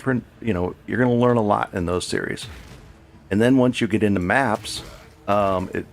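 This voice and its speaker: Man, game streamer, low gravelly voice, rambling live commentary, faint hiss behind. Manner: rambling, self-correcting, chatty with viewers. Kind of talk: casual live gameplay commentary.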